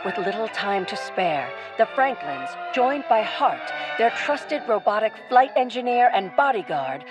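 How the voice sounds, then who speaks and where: A woman's voice: medium pitch, sing-song, measured pace. A woman narrator reading a scripted adventure story in a dramatic style. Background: music.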